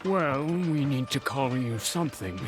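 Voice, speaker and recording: A man's voice: mid-range voice, expressive, measured pace, music in the background, natural voice. An actor voicing a practical, welcoming foreman in a video game, speaking with matter-of-fact authority.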